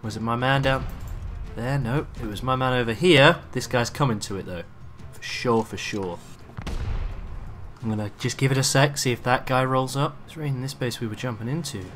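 A man with a bright, forceful voice and a slightly raspy throat from a cough, chatting excitedly as he plays. He swears casually while commenting. Faint music sits underneath. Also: mid-range voice, moderate pace. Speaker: gaming video creator. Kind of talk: live gameplay commentary.

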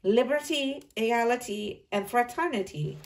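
A woman voicing a confident, inventive male engineer in a read-aloud storybook, in a calm, measured reading. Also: a little high, distant mic, a little hiss or hum, lightly acted.

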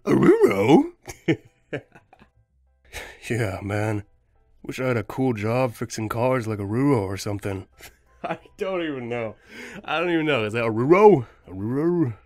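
A man's voice: medium pitch, sing-song, unhurried, long pauses, darkish tone, dry recording, studio quality. A man, an amateur voice actor, joking and mocking himself as he retries lines, music behind him. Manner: joking, self-mocking, mumbling. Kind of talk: outtake chatter and line retakes.

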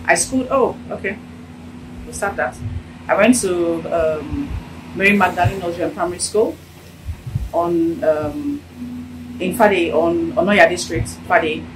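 A middle-aged Nigerian woman with a Nigerian accent, telling her story in a casual, animated interview in an office. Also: on the low side, unhurried, long pauses, distant mic, background noise. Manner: animated, gesturing while talking. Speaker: interviewee, business owner. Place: indoor office with sofa. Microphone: handheld phone held out by interviewer.

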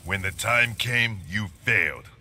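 An actor playing a stern, reproachful warrior in a fighting game, speaking deliberately. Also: male voice, on the low side, lightly acted. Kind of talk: video game dialogue. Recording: game audio with background noise.

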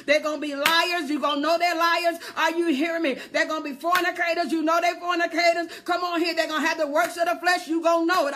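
High, bright-voiced woman preacher. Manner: exhorting, repetitive with call-and-response refrains. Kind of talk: fervent charismatic sermon.

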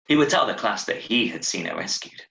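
A man in his thirties reading a short story aloud in a measured, dramatic way, recorded at home over a video call. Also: on the low side, sing-song, creaky, bright, forceful tone. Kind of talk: expressive audiobook-style story narration. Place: small room at home, indoors. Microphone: video call from a desk, wearing earphones. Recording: roomy, echoing home webcam recording.

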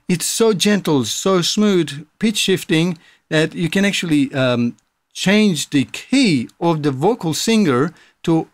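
A man's voice: fairly high pitch, no room sound. A man presenting a music production tutorial in a casual, explanatory, informal way. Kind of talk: casual software tutorial narration.

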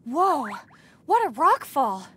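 A woman playing a dutiful, concerned young female knight on patrol in a video game, speaking briskly. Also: sits high, very animated, background noise, exaggerated voice. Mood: alarmed.

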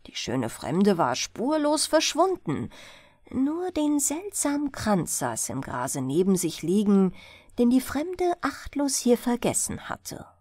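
A woman's voice: a little high, wildly swooping pitch, slow pace, darkish tone, dry recording. A woman narrating a fairy tale as a bedtime audiobook.

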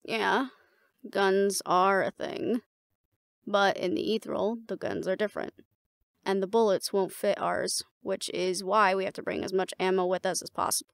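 Woman acting a creaky-voiced, fond warrior girlfriend. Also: mid-range voice, no echo, clean studio sound, close to an everyday voice. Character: battle-seasoned woman, fond, teasing mate of a werewolf. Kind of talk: roleplay audio drama, casual intimate dialogue addressed to the listener.

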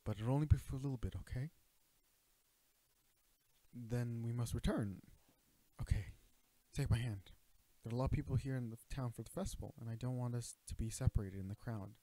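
A man's voice: mid-range voice, a little fast, long pauses, dark tone, no room sound, lightly acted, rough recording. A man playing an earnest royal knight and bodyguard in a romantic audio roleplay, speaking formally and hesitantly to his princess. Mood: gentle, reserved. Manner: earnest, hesitant, stammering, formal courtly address. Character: young male knight, protective royal bodyguard, earnest and flustered. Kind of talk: romantic audio roleplay acting, addressing the listener.

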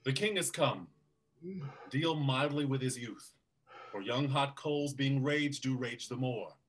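A man voices a loyal duke who counsels caution in even, measured verse. His voice sits at a middle pitch for a man, and he speaks over a video call. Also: music in the background, natural voice. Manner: measured, advising. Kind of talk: Shakespeare staged reading.

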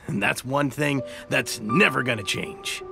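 A man's voice: mid-range voice, a little slow, creaky, over music. A man playing a loyal, heartfelt soldier in a video game, speaking earnestly. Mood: heartfelt, proud. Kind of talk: scripted video game voice acting.

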